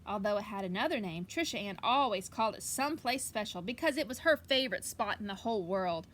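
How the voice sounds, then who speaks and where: A woman's voice: medium pitch, lively intonation, fairly quick, a bit bright, a little hiss or hum. A woman teacher talking and reading to young pupils in a casual, folksy, encouraging storytime manner, with explanatory asides. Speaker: elementary school teacher reading aloud to pupils. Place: outdoors on her back porch. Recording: outdoor home recording with background ambience.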